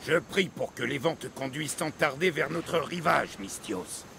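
Excitable old seafarer, theatrical game storyteller, higher-pitched and gravelly. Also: male voice, moderate pace, a little hiss or hum, full character voice. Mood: dramatic reading. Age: older. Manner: theatrical, expansive. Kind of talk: dramatic video game acting.

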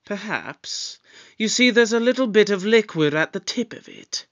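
A man's voice: high-pitched, animated, fairly bright tone, dull audio. A man voicing an eccentric, breezy male time traveler in a fan audio drama, talking in a light, offhand way. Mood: urgent. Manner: light, offhand, drawn-out delivery.

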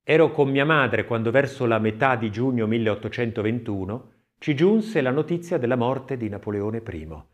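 A man reads literature as a narrator, in a declamatory, theatrical manner that moves between swelling and hushed phrases. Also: medium pitch, expressive, moderate pace. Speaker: audiobook narrator. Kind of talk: dramatic literary reading of poetry and scripted narration.

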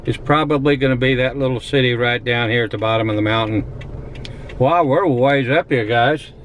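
A man's voice: medium pitch, normal speed. A man chatting casually as a travel vlogger, recorded on a handheld camera inside a car. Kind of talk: casual travel vlog chat. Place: inside a car on a mountain road. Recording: muffled audio, loud moving-car noise. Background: loud car and road noise.

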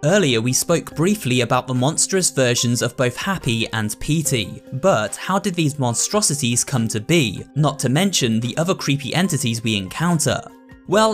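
A man giving a steady, scripted narration explaining a game's story. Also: medium pitch.

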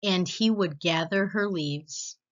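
A woman narrating a children's storybook as read-aloud host, expressive and deliberate, with slightly muffled sound. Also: lowish voice.

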